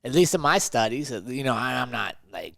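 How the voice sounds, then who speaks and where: A man's voice: medium pitch, lively intonation, a little fast, creaky, a bit bright, dry recording. A man talking conversationally as a motivational podcast host and coach, emphatic and fond of rhetorical questions.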